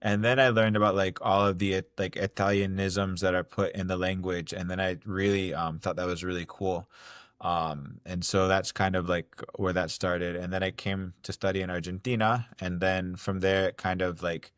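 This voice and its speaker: A man's voice: deep voice, level tone, normal speed, fairly bright tone, no echo, clean studio sound. A young man from Ohio, a podcast guest talking casually with lots of fillers and restarts. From Ohio, United States. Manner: rambling, many fillers and restarts. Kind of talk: casual interview talk.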